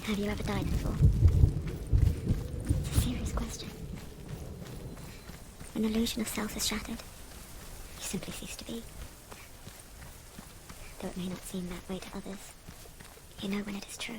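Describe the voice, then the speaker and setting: An actress playing an eerie, knowing narrator inside a warrior's head, speaking in a hushed, deliberate, ominous way in a video game. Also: female voice, mid-range voice, fairly even intonation, in a whisper, natural voice. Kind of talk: intimate whispered dramatic game narration.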